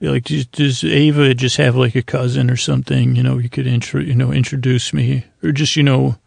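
A man hosting a podcast, rambling through an improvised bedtime monologue in a meandering, halting way, often circling back and repeating himself. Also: medium pitch, little pitch movement, gravelly, a little mellow, no room sound. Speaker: podcast host.

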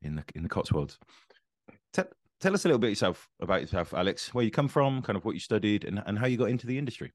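A middle-aged man hosting an interview podcast, upbeat and chatty, with quick encouraging interjections. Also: fairly low pitch, very animated, dry recording, clean studio sound. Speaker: podcast host.

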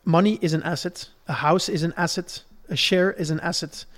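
A man from Belgium with a fairly high-pitched voice speaks as a podcast host. He explains in a conversational, didactic way, building his points one step at a time and often checking that the listener follows. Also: steady tone, moderate pace.

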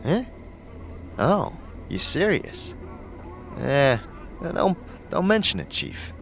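A man performs a wisecracking floating skull companion, full of slangy grumbles. The pitch sits in the middle but swings dramatically. Music runs behind this fantasy audio drama voice. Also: wildly swooping pitch, slow pace, creaky, a bit bright, heavily acted. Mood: awkward, weary.

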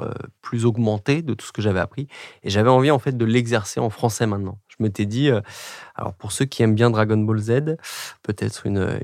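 A man's voice: fairly low pitch, no room sound, studio quality. A French man in his thirties, a podcast guest talking in a reflective, digressive way with many fillers and restarts. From France. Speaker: podcast guest, agency director. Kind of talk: conversational interview.

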